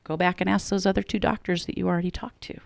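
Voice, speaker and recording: A woman's voice: fairly low pitch, fairly even intonation, fast, gravelly, no room sound. A woman, a program director, talking earnestly as a guest on a radio interview and telling stories with quoted dialogue.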